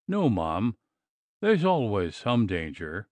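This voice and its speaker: A man reading an audiobook, voicing a gruff, brusque old country doctor. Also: fairly low pitch, huge pitch swings, slow, a little mellow, no echo, exaggerated voice.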